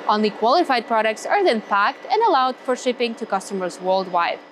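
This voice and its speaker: A young woman presents a factory tour in a clear, scripted style. Her voice sits a little high. She is recorded on a lapel mic inside a big indoor factory hall. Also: very animated, brisk, a little hiss or hum. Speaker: host.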